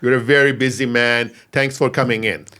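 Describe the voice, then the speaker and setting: A man hosting a podcast, giving a polished intro and then asking questions in a halting way. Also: medium pitch, fairly even intonation, pushed and bright, clear, resonant tone. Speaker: podcast host. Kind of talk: podcast interview. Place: podcast studio.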